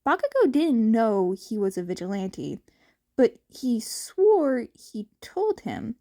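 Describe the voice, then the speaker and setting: A woman reads a story aloud as narrator. Her voice is fairly high and very lively in pitch, and she takes her time with the text. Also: takes it slowly. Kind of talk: amateur fan fiction narration.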